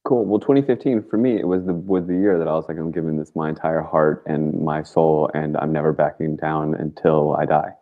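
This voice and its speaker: A man's voice: a bass voice, flat delivery, brisk, few pauses, phone-quality sound. A man talking as a guest on a talk show, rambling casually and earnestly through his stories in informal, slangy language. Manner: informal and slangy, with frequent "like" and mild swearing.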